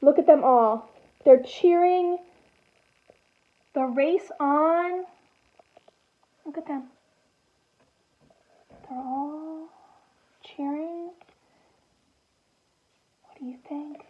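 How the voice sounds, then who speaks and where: A woman with a high voice reads a picture book aloud as a teacher would to small children. She takes her time, adding expressive comments and simple questions for the listeners. The recording sounds muffled and thin. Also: extremely slow, long pauses. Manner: expressive, animated storytelling with gasps and exclamations; child-directed, asking prompting questions.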